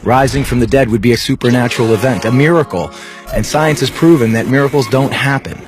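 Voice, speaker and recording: A man's voice: medium pitch, fairly quick, natural voice. Guy acting as a doubting young dude, slangy and incredulous, skit dialogue with music playing behind him. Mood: insistent. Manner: incredulous, casual slangy delivery. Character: skeptical, scoffing young male atheist challenging a believer.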